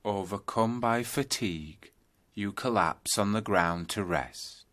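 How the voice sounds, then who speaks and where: A man narrating a scripted guided yoga nidra meditation, telling a journey in measured second-person storytelling. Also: fairly low pitch, a bit bright.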